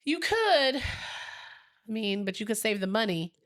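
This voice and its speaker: A woman's voice: on the high side, big pitch swings, measured pace, fairly bright tone. A woman co-hosting a podcast in casual chat, rambling and laughing easily, her nose a little stuffy. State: slightly stuffy from a sinus infection. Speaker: podcast co-host.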